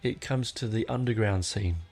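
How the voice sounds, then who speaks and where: A man's voice: on the low side, moderate pace, darkish tone, soft background music. A middle-aged man hosting a podcast in a casual, unscripted way, wandering off on tangents and correcting himself as he goes. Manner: rambling, digressive, self-correcting. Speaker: podcast host.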